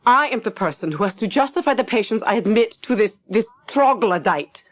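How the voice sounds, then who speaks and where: A woman's voice: medium pitch, animated, fairly quick, pitched above the performer's own voice, natural voice. A woman narrator voicing a snappish, severely logical woman doctor in her late fifties in an audiobook story. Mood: indignant. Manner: snappish, emphatic, cutting. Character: sharp, indignant woman doctor of fifty-eight, severely logical.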